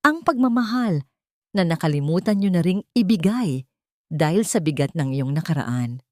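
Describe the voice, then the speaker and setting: A woman's voice: fairly low pitch, animated, moderate pace, darkish tone, dry recording, studio quality, natural voice. A woman voicing a humble female household cook in an audio drama, respectful but firm and earnest. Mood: earnest, pleading.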